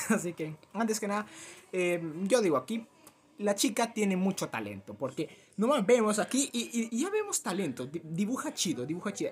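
A young man with a Latin American Spanish accent, chatting casually and self-deprecatingly to camera as a rambling, animated YouTuber. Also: sits high. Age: teens to early twenties. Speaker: YouTuber reacting to a fan dub. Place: small cluttered room at home.

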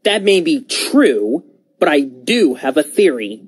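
A man voicing a chatty, scheming steam engine who insinuates things in an animated game. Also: fairly high pitch, slow pace, breathy edge, no room sound, heavily acted. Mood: sly, gossipy. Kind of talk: fan animated game cutscene acting.

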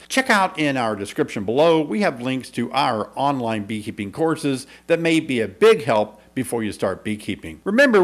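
A man in his fifties, a beekeeping instructor giving an upbeat, persuasive video lesson to camera in a small indoor studio, speaking into a desk mic. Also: a little high. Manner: upbeat, persuasive, clear teaching delivery. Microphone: desk microphone in front.

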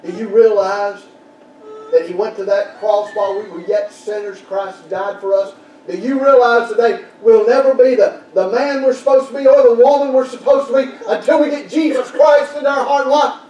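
An older man preaching a sermon in a small church, building to shouted peaks and dropping to quiet prayer. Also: very high-pitched, steady tone, normal speed. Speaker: pastor. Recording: roomy church echo from far off, background noise.

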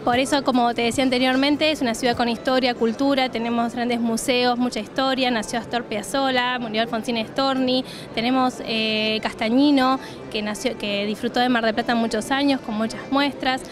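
A woman's voice: on the high side, steady tone, normal speed, few pauses, over music. A woman in her thirties with an Argentine accent, a city tourism official answering interview questions fluently at a trade fair stand, with many "eh" fillers, into a handheld mic. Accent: Argentine Rioplatense Spanish. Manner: fluent promotional listing, frequent "eh" fillers. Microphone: handheld reporter mic.

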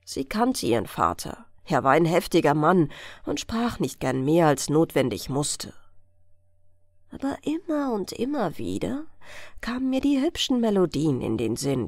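A woman's voice: lowish voice, dry recording, studio quality. A woman reading a scripted bedtime fairy tale as an audiobook narrator.

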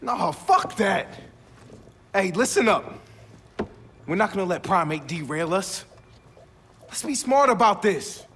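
Young hacker leader in a video game, highish animated voice, slangy, sure of himself, faint noise. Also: male voice, slow pace, long pauses, full character voice. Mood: determined, fired up. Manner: casual, slangy, determined. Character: confident, streetwise young male hacker leader. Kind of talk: video game cutscene acting.

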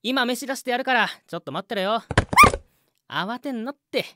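A man's voice: very high-pitched, animated, normal speed, heavy background noise. A man acting as a jittery young junior sound mixer in a Japanese voice drama. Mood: affectionate.

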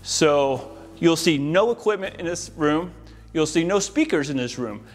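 A man's voice: on the high side, expressive, unhurried, faint music behind. An American man in his forties giving an enthusiastic, informal walk-through to camera as a home installer. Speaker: home audio-video installer giving a tour. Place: inside a large, mostly empty house.

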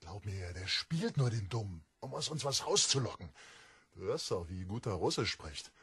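Very raspy, hot-headed young poet. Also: male voice, fairly low pitch, sing-song, moderate pace, a bit bright, dry recording, exaggerated voice. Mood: conspiratorial. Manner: blunt, urgent. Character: suspicious, blunt, hot-headed Soviet poet. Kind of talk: German radio drama acting.

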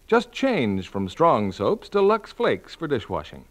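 A man's voice: medium pitch, wildly swooping pitch, a little slow. A man working as a 1940s radio announcer, reading scripted announcements and advertising. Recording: vintage 1940s radio broadcast.

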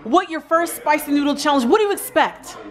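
A woman's voice: high-pitched, expressive, brisk, some chatter behind, voice pushed up. A woman playing a chirpy female TV news reporter, interviewing family members in a teasing, mock-formal way. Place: indoors at home. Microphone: handheld camera on a tripod.

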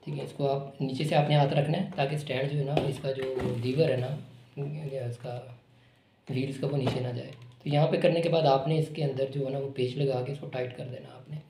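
A man's voice: mid-range voice, little pitch movement, brisk. Chatty man demoing assembly in an echoey room. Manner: casual, explanatory, repeats himself while working with his hands. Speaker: online shop product demonstrator. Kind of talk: unscripted unboxing and assembly walkthrough. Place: indoor room with a table. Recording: echoey room with noticeable background noise.

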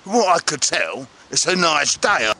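A man's voice: fairly high pitch, big pitch swings, normal speed, gravelly, sharp tone, pitched below the performer's own voice, exaggerated voice. A man playing a chatty, hopeful Cardiff actor with a Welsh accent, telling of auditions in a vlog monologue. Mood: upbeat, chatty. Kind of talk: scripted-feel vlog monologue.

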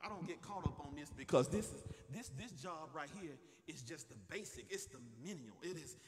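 A man's voice: on the high side, animated, fairly quick, a crowd in the background. A man preaching a church sermon in an impassioned, emphatic way, repeating phrases for effect and calling on the congregation to respond. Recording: long reverberant hall sound.

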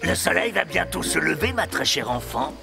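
A sly cartoon gnome sorcerer, very creaky and rather high for a male. He drags out his mock-polite taunts with theatrical swoops and menace. Music plays behind him. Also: very animated, normal speed, full character voice. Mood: menacing, taunting. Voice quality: gravelly. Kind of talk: theatrical cartoon villain acting.

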